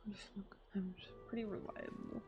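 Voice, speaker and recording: A woman's voice: medium pitch, a little fast, vocal fry, whispered, lo-fi sound, faint music behind. A woman giving casual, slangy reaction commentary as she plays a game, playfully repeating lines aloud. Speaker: game streamer.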